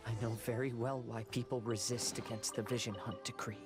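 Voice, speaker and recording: A resolute young male wanderer speaks in solemn, deliberate lines, acted by a man for a video game. His voice sits at a medium pitch. Music plays clearly behind him. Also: little pitch movement, natural voice. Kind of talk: scripted game dialogue.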